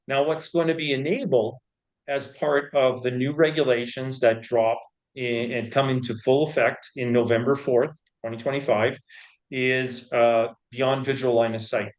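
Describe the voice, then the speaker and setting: A man speaks as a company executive being interviewed. His voice sits at an average male pitch. He explains things step by step in halting phrases, often repeating a word before carrying on. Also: rough recording. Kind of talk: explanatory business interview talk.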